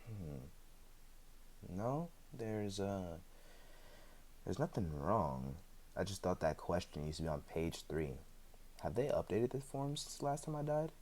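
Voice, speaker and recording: A man's voice: deep voice, a little mellow, exaggerated voice. A man playing a chatty, sheepish immortal in a roleplay audio drama, talking in a hesitant, conversational way with dry humor. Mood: musing, amused. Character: chatty, sheepish, evasive immortal man, freshly back from death.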